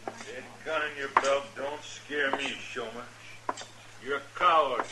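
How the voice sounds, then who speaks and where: A taunting American outlaw bully played by a male actor drawls out his words slowly and with menace. His voice is mid-pitched, on a noisy old radio western recording. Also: fairly even intonation, close to an everyday voice. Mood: taunting, threatening.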